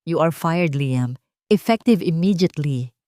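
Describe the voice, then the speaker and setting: A woman's voice: sits low, lively intonation, unhurried, warm tone, no room sound. A woman with a Filipino accent voicing a ruthless rich villainess aunt in an audio love story, mocking and imperious. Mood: gloating.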